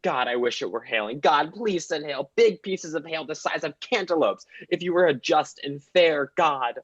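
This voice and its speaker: A man's voice: on the high side, lively intonation, normal speed, fairly bright tone, dry recording, highs cut off. A man playing a fussy, exasperated police detective and dog owner in a comedic radio play. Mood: pleading, exasperated.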